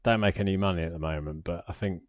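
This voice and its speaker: Low-voiced British man, rambling casually. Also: fast talker, no room sound, highs cut off. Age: forties. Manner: rambling, hesitant, self-deprecating. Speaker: personal finance vlogger. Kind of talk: casual unscripted screen-share commentary.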